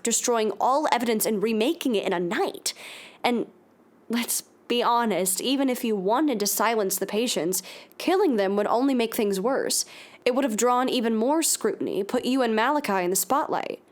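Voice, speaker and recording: A woman's voice: fairly high pitch, expressive, moderate pace, natural voice. A woman acting as an insistent, anxious podcast host questioning a witness in an audio drama. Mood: incredulous, probing. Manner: probing, urgent questioning.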